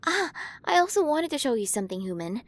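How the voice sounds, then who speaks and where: A woman voicing an ancient alien queen in an ASMR roleplay, curious and playful, gently condescending and possessive toward her human. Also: high-pitched, sing-song, quick pace, noisy background. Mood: excited, curious. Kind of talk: ASMR roleplay, acted monologue to the listener.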